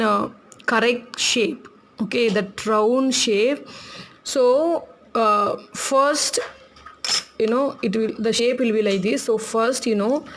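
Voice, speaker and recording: A woman's voice: a little high, a little hiss or hum. Chatty Tamil-accented woman teaching crafts. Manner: chatty, laughs often, repeats instructions slowly. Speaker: craft tutorial host.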